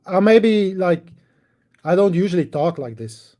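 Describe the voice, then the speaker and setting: A middle-aged man from Belgium, Dutch-speaking by birth, who talks English with a Flemish accent as a caller, halting and restarting often in casual chat. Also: high voice, expressive, warm tone. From Belgium, Dutch-speaking part. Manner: halting, hesitant, many restarts and fillers.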